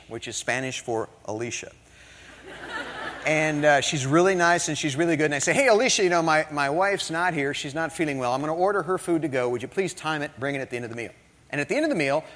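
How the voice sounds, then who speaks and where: A middle-aged man from the northern United States giving a humorous motivational talk, telling stories with jokes. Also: fairly high pitch. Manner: humorous, animated storytelling with jokes. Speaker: motivational speaker. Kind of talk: storytelling keynote talk. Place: large hall before an audience. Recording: hall reverb.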